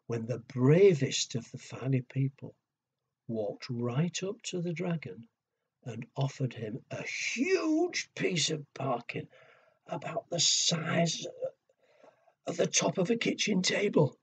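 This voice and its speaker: A man telling a story to young children, with a husky voice, hushed dramatic pauses and simple questions to his listeners. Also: a little high, big pitch swings. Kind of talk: children's storytelling and nursery rhymes. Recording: dull lo-fi recording.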